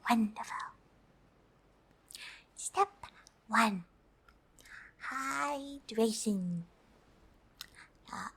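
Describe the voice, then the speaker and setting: A friendly, excitable alien played by a woman whispers through an ASMR roleplay. The voice is breathy and fairly high, moving extremely slowly. The phrasing is oddly formal and hesitant, like an eager visitor carefully addressing humans. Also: heavily acted. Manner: hesitant, formal, third-person self-reference with frequent uh.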